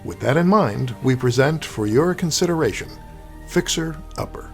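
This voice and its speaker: A man hosting an audio play series, giving a scripted theatrical introduction in a measured, formal, wry way. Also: fairly high pitch, expressive. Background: music.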